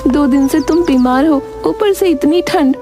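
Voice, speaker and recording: A woman playing wronged, poor village women, plaintive and righteous, in an animated moral story. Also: high-pitched, measured pace, over music. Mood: worried, weary. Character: poor wronged village widow and her daughter.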